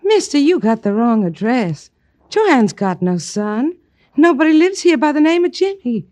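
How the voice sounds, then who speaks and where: A blunt, suspicious American landlady in a vintage radio drama. Her voice is very high and jumps about expressively. She sounds folksy and defensive. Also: big pitch swings, a little slow, a little mellow, no room sound. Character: protective, suspicious, brassy working-class landlady. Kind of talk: 1940s-50s radio drama character acting. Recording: old radio drama recording.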